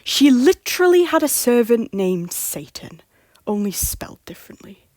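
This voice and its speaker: A woman's voice: a little high, big pitch swings, normal speed, a little mellow, no echo, studio quality. A woman voicing a proud, bitter young male king in an audio drama, curt and dismissive. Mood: scornful.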